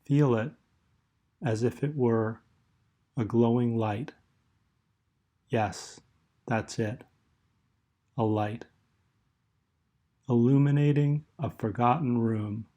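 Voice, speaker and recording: A man with a medium-pitched voice leads a guided meditation. His delivery is very slow and measured, with long pauses between short phrases. The tone is dark, relaxed and soothing throughout.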